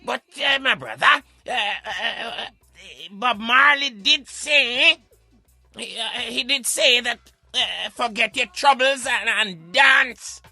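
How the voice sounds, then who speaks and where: A man's voice: extremely high pitch, animated, takes it slowly, creaky, bright, forceful tone, dry recording, soft background music, pitched above the performer's own voice, heavily acted. A Jamaican man playing a hesitant, earnest radio sidekick who asks questions with a stammering delivery.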